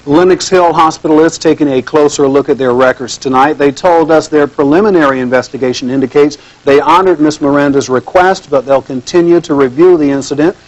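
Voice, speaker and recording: Male news anchor, fairly high voice, scripted delivery, muffled lo-fi tape. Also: a little fast, few pauses. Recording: dull lo-fi TV newscast tape.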